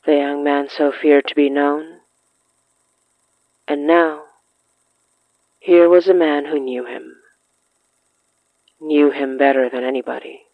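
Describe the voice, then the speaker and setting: Slow, dry-sounding narrator with dramatic pauses. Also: mid-range voice, little pitch movement, no echo, narrow, tinny audio. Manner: measured, dramatic pauses in short phrases. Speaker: narrator of a horror fiction podcast. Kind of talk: scripted literary narration, storytelling.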